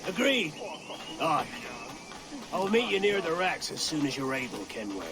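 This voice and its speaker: A fiery pirate captain, pitched high and swinging widely in tone. He is blunt, salty and defiant, in the manner of dramatic video game acting. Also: sing-song, moderate pace, over music. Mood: gruff, businesslike. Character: fiery, gruff pirate captain defending his republic.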